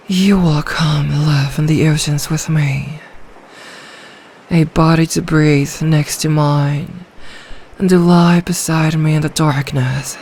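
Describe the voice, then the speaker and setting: A woman voicing a lonely, ancient female sea dragon in an intimate ASMR roleplay, soft, regal and possessive, doting with quiet menace. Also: deep voice, slight background noise.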